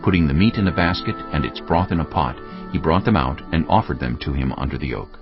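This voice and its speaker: A man's voice: a bass voice, quick pace. A man narrating a dramatized reading of scripture as a scripted storyteller. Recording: muffled audio with faint music.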